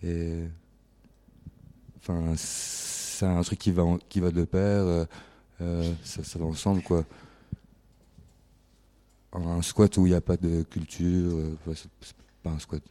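A man's voice: low voice, flat delivery, slow, long pauses, warm tone. A man who lives in a squat and makes art, answering questions tersely with hesitant fillers. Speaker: interviewee, squat resident and artist. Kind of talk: casual interview answer.

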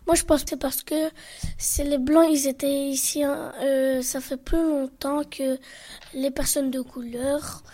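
A woman's voice: high-pitched, level tone, measured pace, dark tone. A young girl debating casually as a participant in a youth radio workshop.

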